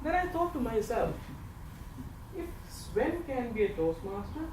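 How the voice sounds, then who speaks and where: A man's voice: very high voice, measured pace. A man in his thirties with an Indian accent, giving a humorous farewell speech to a speaking club in an office meeting room. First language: not English; English is his third language. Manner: storytelling with comic timing, animated gestures. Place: large office meeting room with windows and a projection screen. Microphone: far camera, no mic. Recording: echoey room from far off, audience noise.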